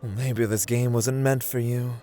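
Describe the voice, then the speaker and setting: A man playing a playful, taunting god of luck who deals cards, in a theatrical ASMR roleplay with deliberate speech and hushed murmurs. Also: mid-range voice, steady tone, lightly acted. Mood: taunting, intrigued. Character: playful, taunting male god of luck, smooth-talking card dealer.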